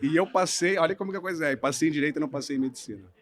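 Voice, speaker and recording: A man from Brazil, a lawyer in his thirties, telling the story of his career in a relaxed podcast conversation, often checking in with "né?". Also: a little high, racing. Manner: relaxed storytelling, hedging with frequent "né?". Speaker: guest lawyer. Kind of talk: casual podcast interview.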